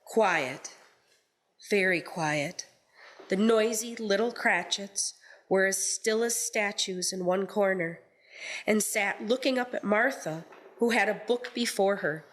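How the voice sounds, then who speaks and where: A woman narrating a radio play in a measured storytelling manner from a script. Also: mid-range voice.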